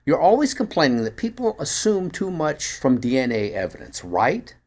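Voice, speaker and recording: A man's voice: on the high side, wildly swooping pitch, normal speed, darkish tone, voice pushed up, full character voice. Man acting a keen amateur sleuth. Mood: eager, persuasive. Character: eager male amateur sleuth and dog owner, persuasive. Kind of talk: acted first-person dialogue in a story reading.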